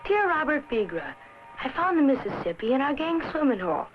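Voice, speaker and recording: A woman plays a young farm boy narrating a letter in an old educational film. The voice is fairly high and very animated, boyish and matter-of-fact. The sound is dull, with faint noise. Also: brisk, music in the background. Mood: chatty, proud.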